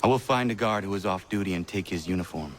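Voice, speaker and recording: A stern young assassin in a video game, voiced by a man, blunt and bitter in every word. His voice sits fairly low. Faint ambience hangs behind him. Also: fast talker, a little hiss or hum, lightly acted. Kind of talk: video game cutscene acting.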